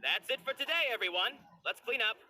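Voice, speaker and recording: Bright-voiced, conscientious theater manager. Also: male voice, extremely high pitch, lively intonation, fairly quick, a little hiss or hum, heavily acted, rough recording. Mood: resigned. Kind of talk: video game voice acting.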